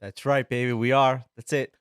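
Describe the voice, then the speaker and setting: A man, co-hosting a casual podcast and telling rambling, animated stories with a lot of swearing. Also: mid-range voice, normal speed, smooth, no echo, studio quality. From Sicily. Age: 30s. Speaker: podcast co-host. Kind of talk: casual chat.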